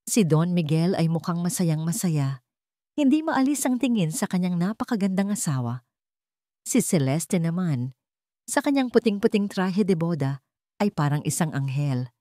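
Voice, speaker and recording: A woman with a Filipino accent narrating a scripted dramatic story in measured, suspenseful short phrases. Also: on the low side, sing-song, mellow, no echo, clean studio sound.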